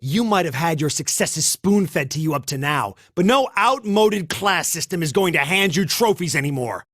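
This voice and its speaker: Casting: self-righteous male student for anime game, bright, tense, swooping delivery, heated and scornful. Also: on the high side, animated, moderate pace, no echo. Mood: scornful, defiant. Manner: heated, preachy. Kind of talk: English anime game dub acting.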